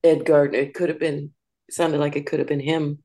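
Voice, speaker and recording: A person with a gravelly voice, chatting casually. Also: medium pitch, level tone, fairly quick, dark tone. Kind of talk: casual chat.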